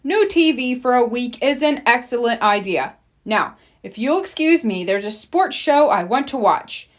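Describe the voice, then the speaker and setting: A woman's voice: a little high, animated, unhurried. A woman voicing an emphatic, blustery father bear in a children's storybook. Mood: pompous.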